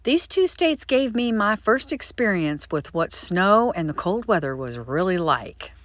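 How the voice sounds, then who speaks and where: A woman's voice: mid-range voice, big pitch swings, unhurried, fairly bright tone, dry recording. An older woman from Florida, a doll craft vlogger, telling her life story in a chatty, friendly way. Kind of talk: casual personal storytelling.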